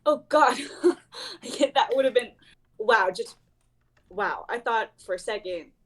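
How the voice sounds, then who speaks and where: A woman's voice: on the high side, expressive, a little slow. A woman playing a playful, teasing young woman in a casual dramatic reading. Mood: shy, amused. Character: playful, teasing young woman named Bellavia sharing a ride.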